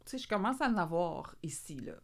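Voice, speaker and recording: A woman in her late thirties with a Québécois accent, chatting casually as a podcast co-host, animated and colloquial, laughing easily. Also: lowish voice, fairly quick. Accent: Québécois French. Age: 30s. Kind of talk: casual chat.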